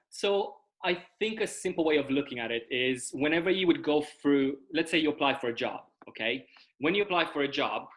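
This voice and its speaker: A man's voice: medium pitch, a bit bright. A young man from Romania, speaking as a guest over a video call, explaining at length and often checking in with "right?" and "okay?". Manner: explanatory, uses tag questions like "right?" and "okay?". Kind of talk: casual video-call interview.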